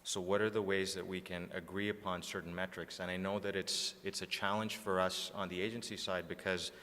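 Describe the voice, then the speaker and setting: Male conference speaker, low and bright-edged, presenting in a reverberant hall. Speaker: media agency planner, conference speaker. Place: large indoor conference room, on stage. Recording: echoey hall, long reverb.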